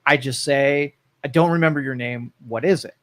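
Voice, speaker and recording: A man's voice: mid-range voice, moderate pace, a bit bright, dry recording. A man with an Ohio accent hosting a local talk podcast, talking casually in a rambling way. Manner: easygoing, rambling, with fillers and restarts. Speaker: podcast host. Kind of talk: casual chat.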